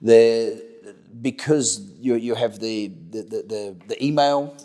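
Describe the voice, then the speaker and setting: A man's voice: medium pitch, takes it slowly, warm tone. A middle-aged man with a New Zealand accent, answering interview questions conversationally, often repeating words and restarting as he goes. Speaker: interview guest, company director.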